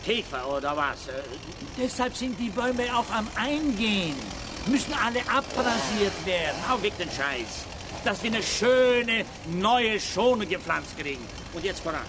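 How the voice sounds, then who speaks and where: A man's voice: high-pitched, big pitch swings, measured pace. An actor playing a coarse, bullying forestry foreman who barks orders in colloquial speech in a radio drama. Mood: angry, bullying. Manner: blustering, barking orders, colloquial, stammers when outraged. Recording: vehicle and engine noise behind.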